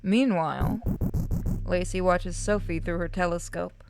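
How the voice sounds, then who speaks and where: A woman's voice: on the low side, steady tone, unhurried, gravelly. A woman reading a visual novel aloud as narrator, with casual asides. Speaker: game streamer narrating. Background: music.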